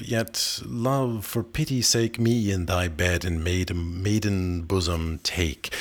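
A man voicing a cold, weary young lover who entreats in recited verse. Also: deep voice.

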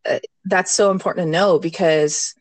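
A woman guest in a casual mindfulness chat, candid and quick to laugh. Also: on the low side, steady tone, dry recording. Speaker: podcast guest, mindfulness teacher. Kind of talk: casual conversation.

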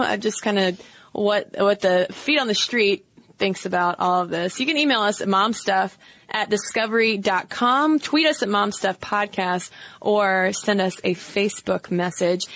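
A woman's voice: mid-range voice, fairly bright tone, no echo. Upbeat woman co-hosting a podcast. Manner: upbeat, reads listener mail aloud. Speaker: podcast co-host. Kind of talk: casual chat.

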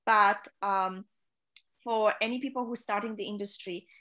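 A woman's voice: medium pitch, fairly even intonation, normal speed, bright, forceful tone. A woman from Poland with a Polish accent, a non-native English speaker, giving earnest, direct career advice as an interview guest, with frequent filler sounds. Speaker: chief stewardess, interview guest.

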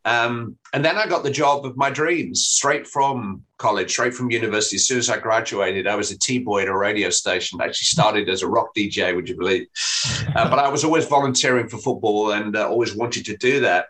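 A middle-aged man from England, a football commentator, telling stories in a relaxed interview with restarts and self-deprecating humour. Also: fairly low pitch, brisk, rough recording. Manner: chatty storyteller, frequent restarts and self-deprecating laughs. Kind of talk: relaxed anecdotal interview talk.

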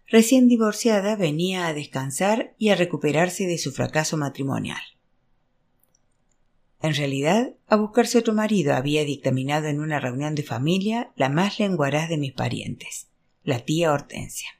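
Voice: medium pitch, expressive, normal speed, a little mellow. A narrator reading literary fiction aloud as an audiobook, in a scripted storytelling style. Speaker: audiobook reader.

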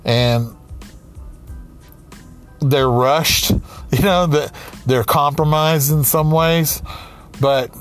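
Slow, halting man around forty. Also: fairly high pitch, fairly even intonation, faint music behind. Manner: slow, halting, with many restarts and pauses. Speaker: aspiring writer, comedian and podcaster. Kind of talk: reflective personal monologue.

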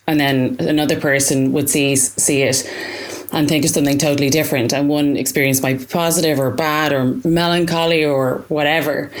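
A young woman, an artist, answering interview questions in a reflective, halting way with many fillers and restarts. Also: low-pitched. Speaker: artist guest.

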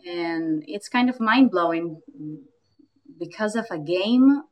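A woman's voice: lowish voice, expressive, slow pace, muffled recording. A young adult woman from Argentina with an Argentine Spanish accent, speaking English hesitantly with many fillers in casual chat. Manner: hesitant, frequent fillers and laughter. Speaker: guest, yoga teacher.

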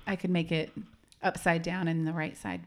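Lady cohost chatting, kinda low voice, rambly explainer, lots of restarts. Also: level tone, moderate pace, vocal fry. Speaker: podcast co-host. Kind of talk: casual chat.

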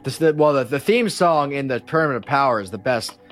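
A man's voice: medium pitch, lively intonation, a little fast, soft background music. A man in his thirties chatting casually as a podcast host, with earnest, opinionated enthusiasm. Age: 30s. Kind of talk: casual fan chat.

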